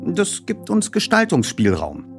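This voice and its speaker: Guy doing a bossy know-it-all teen boy detective, pitched up, wordy and pompous, audiobook style. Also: wildly swooping pitch, measured pace, faint music behind, exaggerated voice. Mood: matter-of-fact.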